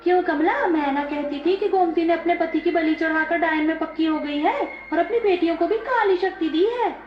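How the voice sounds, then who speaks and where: Woman playing shrill-high spiteful village gossip. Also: fairly quick, slight background noise. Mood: insinuating. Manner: accusing, scornful. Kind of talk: dramatized moral story dialogue. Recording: echoey, dull, faint music behind.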